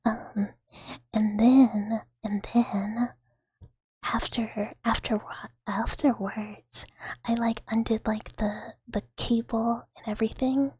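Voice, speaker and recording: A woman speaks in a very breathy, airy whisper, pitched on the high side. She chats like an ASMR streamer, rambling playfully to her viewers. The sound is close and dry. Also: flat delivery, slow, warm tone, no echo. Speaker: livestreamer hosting a chat stream.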